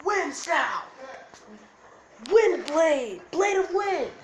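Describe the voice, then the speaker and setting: Woman doing a bold anime ninja kid, high loud drawn-out battle yells, echoey noisy room. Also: big pitch swings, heavily acted. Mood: fierce, commanding. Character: bold young boy ninja shouting attack names, anime hero. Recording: roomy echo, noisy background.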